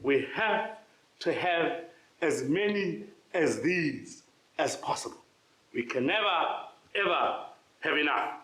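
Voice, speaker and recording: Emphatic male keynote speaker, high-pitched, booming, roomy echo. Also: expressive, slow. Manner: loud, emphatic, oratorical. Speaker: conference speaker, social investment practitioner. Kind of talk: conference keynote speech. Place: conference venue.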